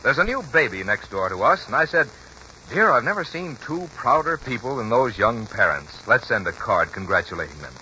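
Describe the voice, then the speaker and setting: Male 1940s radio announcer, medium pitch with lively swings, scripted sponsor read, dull noisy old recording. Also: a little fast.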